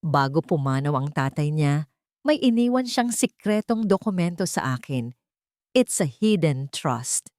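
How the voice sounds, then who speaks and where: A woman's voice: sits low, very animated, a little slow, a little mellow, no echo, studio quality. A woman voicing a devoted middle-aged male lawyer in an audio drama, solemn and reassuring, mixing English with Tagalog. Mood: determined.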